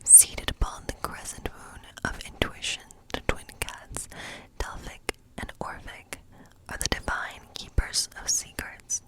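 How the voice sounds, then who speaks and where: Whispering woman reading tarot as a witch. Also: low-quality recording. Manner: unhurried, musing, thinking aloud. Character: gentle fortune-telling witch. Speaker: ASMR role-play performer as a tarot-reading witch. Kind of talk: whispered ASMR tarot reading role play.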